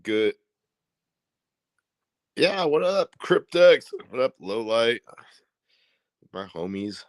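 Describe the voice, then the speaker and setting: A man with an Indiana accent hosting a casual livestream, talking in a rambling, profane, excitable way. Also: mid-range voice, drawn-out words, long pauses, dry recording. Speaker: livestream host.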